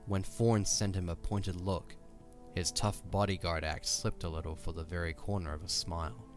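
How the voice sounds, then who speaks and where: Very low male voice, scripted story narration, audio drama, music behind. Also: fairly even intonation, moderate pace.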